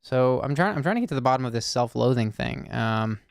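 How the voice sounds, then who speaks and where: A man hosting an anonymous call-in circle, speaking softly and searchingly with many pauses and fillers. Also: medium pitch, expressive, gravelly, smooth. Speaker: host. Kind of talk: listening call-in conversation.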